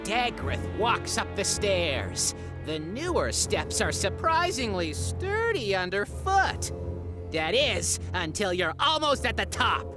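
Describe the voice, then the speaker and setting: An actress playing a playful, teasing game master narrating a tabletop game in a comedy audio drama. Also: female voice, medium pitch, very animated, slow, music in the background. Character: gleeful young woman game master, mischievous.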